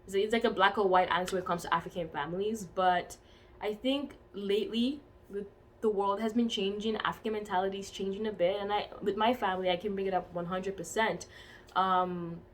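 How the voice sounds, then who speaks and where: A woman's voice: mid-range voice, steady tone, a little fast. A woman in her twenties answering interview questions in a casual, thoughtful way. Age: 20s. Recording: faint background noise.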